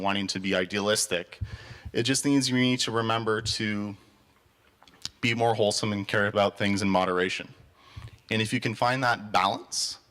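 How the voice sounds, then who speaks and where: A young man from Canada speaks earnestly to a live audience, telling stories and offering advice. His voice sits on the low side. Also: bright, forceful tone. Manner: earnest, storytelling. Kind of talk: motivational talk with audience Q&A.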